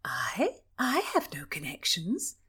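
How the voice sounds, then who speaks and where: Woman voicing a meek, hushed young lady. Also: fairly high pitch, wildly swooping pitch, takes it slowly, slightly rough, whispered, exaggerated voice. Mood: gentle. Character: meek soft-spoken young court lady.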